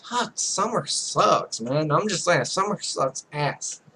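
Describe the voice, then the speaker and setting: A man with a fairly high voice hosts a casual gaming video. He rambles in offhand, muttered asides as he works through a visual novel. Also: expressive, takes it slowly, bright, forceful tone, slight background noise. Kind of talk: casual let's play, reading visual novel text aloud.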